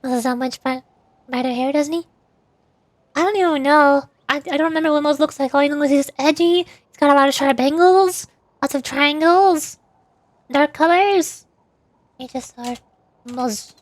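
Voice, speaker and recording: Female game streamer, high-pitched, playful and rambling, casual livestream chat, very dry sound. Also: normal speed, pauses often, no echo. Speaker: streamer, VTuber. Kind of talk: casual gaming livestream chat with voiced dialogue.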